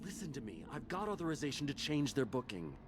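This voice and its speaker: A man's voice: medium pitch, big pitch swings, fast, over music, close to an everyday voice. An actor playing a conflicted, resentful man who has betrayed an old friend, bitter and accusing, in a video game. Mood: insistent.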